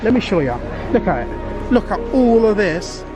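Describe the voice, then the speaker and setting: A man with a high voice narrates a casual walk-around vlog. He talks informally and exclaims often, with loud music playing behind him in a supermarket. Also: big pitch swings, normal speed. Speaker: vlogger. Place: inside a large supermarket.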